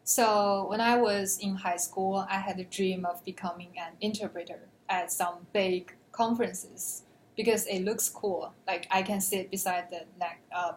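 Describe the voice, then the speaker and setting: A young woman from China, a native Chinese speaker, answering interview questions in an earnest, measured way. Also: medium pitch, slight background noise, rough recording. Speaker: graduate student.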